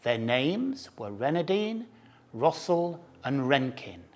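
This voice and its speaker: Expressive, slow storytelling man. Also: mid-range voice. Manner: expressive, dips to hushed whispers. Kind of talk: storybook narration.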